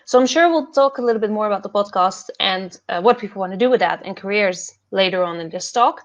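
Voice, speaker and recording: A young woman from the Netherlands, a PhD fellow and podcast host, talking earnestly about her career path with many pauses and fillers over a video call. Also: mid-range voice.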